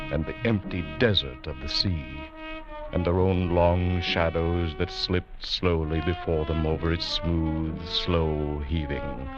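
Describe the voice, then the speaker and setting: Very deep male narrator, slow and solemn, old radio drama, loud music behind. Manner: grave, measured, portentous. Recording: dull vintage radio recording.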